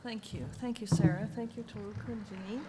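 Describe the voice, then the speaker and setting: A woman's voice: medium pitch, fairly even intonation, a little fast, crowd noise. An older woman from Hong Kong, a native Chinese speaker, giving a candid and chatty panel talk about her ceramic art. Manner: candid, chatty, laughs easily. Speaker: ceramic artist presenting at a panel. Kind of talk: conference talk. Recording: reverberant hall with faint noise.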